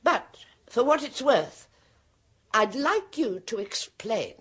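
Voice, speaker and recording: A knowing, slightly mocking woman in an old radio drama. She speaks slowly and deliberately in a husky voice, her pitch sliding widely to tease out each line. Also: very animated, fairly bright tone, exaggerated voice. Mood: sly. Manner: sly, drawn-out, mocking. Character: knowing middle-aged woman, sister of a medium, sly and mocking. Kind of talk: 1970s radio drama acting.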